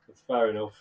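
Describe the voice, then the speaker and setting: Nasally blocked-up man chatting. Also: narrow, tinny audio. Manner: rambling, laughs often, chats to viewers by name. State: blocked up nose, nasally from illness. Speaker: live streamer and bug keeper. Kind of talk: casual livestream chat. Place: bug room, indoors.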